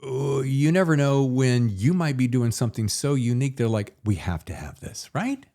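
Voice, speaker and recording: A man's voice: medium pitch, moderate pace, creaky, dark tone. A man hosting a podcast in a casual, chatty style, with a folksy, effusive showman's way of talking. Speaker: podcast host.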